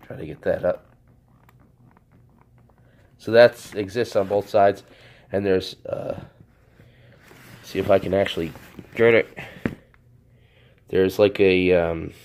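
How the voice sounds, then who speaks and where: Hoarse man rambling through a review. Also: low voice, fairly even intonation, slow, pauses often, darkish tone. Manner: rambling, self-correcting, chuckles at himself. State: hoarse, recovering from a cold. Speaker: product reviewer. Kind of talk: casual unboxing review. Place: indoors at a table. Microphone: handheld camera, off-screen speaker.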